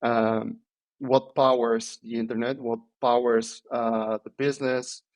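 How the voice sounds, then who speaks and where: A man, answering interview questions in a thoughtful, hesitant way with many pauses and restarts. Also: mid-range voice, little pitch movement. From Italy. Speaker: podcast guest, software engineer.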